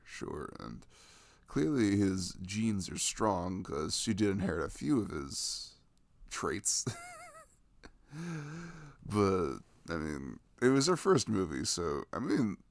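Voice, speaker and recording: A young man playing a sweet, playful boyfriend in an intimate ASMR roleplay, rambling softly and casually close to the microphone. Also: sits low, very animated, measured pace, vocal fry, no echo, full character voice. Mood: chatty, amused. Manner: soft, rambling, frequent chuckles and hums. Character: sweet, playful, affectionate boyfriend cuddling his partner.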